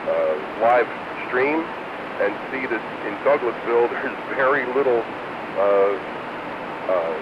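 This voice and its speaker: Loud radio noise surrounds a muffled male voice of medium pitch. The man rambles casually and hesitantly, as in an on-air chat between radio operators. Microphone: radio transceiver microphone.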